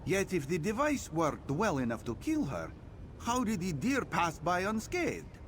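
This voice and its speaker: An actor playing a sly, thoughtful cat-folk scout in a video game, theatrical and musing in manner. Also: male voice, high-pitched, sing-song, a little slow, slight background noise, exaggerated voice. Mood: musing, puzzled. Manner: theatrical, musing, refers to himself in third person. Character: sly, thoughtful cat-folk male scout, speaks of himself in third person. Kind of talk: video game character dialogue.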